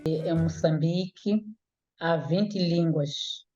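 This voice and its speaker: Low, mellow woman linguist. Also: dull audio, faint music behind. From Mozambique. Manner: measured, explains step by step with hesitations. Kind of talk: explanatory interview answers.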